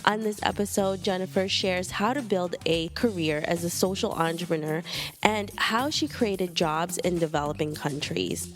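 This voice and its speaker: A woman's voice: fairly low pitch, normal speed, soft background music. A woman hosting a podcast, reading an enthusiastic scripted intro and asking questions. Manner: upbeat and enthusiastic. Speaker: podcast host.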